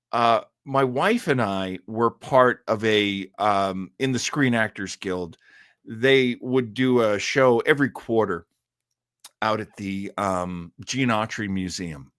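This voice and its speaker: A man's voice: fairly low pitch, a bit bright, dry recording, studio quality. A man hosting a live show about old-time radio, talking casually to listeners in a hesitant, rambling, conversational way.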